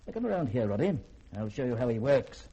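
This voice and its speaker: A man's voice: medium pitch, big pitch swings, quick pace, mellow, muffled recording, slight background noise. A man in a 1950s radio drama playing an erudite, reclusive scholar who speaks in eloquent, formal, lecturing sentences. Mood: urbane, wry.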